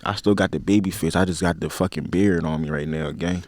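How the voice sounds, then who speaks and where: A man's voice: sits low, steady tone, a little fast, a little mellow, dry recording. Laid-back young man with a philly accent. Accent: Philadelphia. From Philadelphia, USA. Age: 20s. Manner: laid-back, slang-heavy, rambling with many fillers. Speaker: podcast guest. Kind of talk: casual interview chat.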